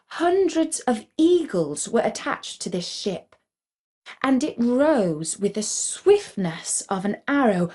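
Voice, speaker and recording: Woman reading kids a fairy tale, really slow and soft-toned, careful storybook delivery. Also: medium pitch. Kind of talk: scripted fairy tale narration for children.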